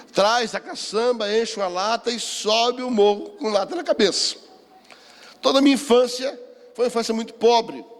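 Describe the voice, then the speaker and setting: High-pitched older Brazilian man preaching, echoing hall. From Rio de Janeiro, Brazil. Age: 60s. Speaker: pastor, seminar speaker. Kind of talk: sermon with personal testimony. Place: church hall, speaking to a seminar. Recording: reverberant hall.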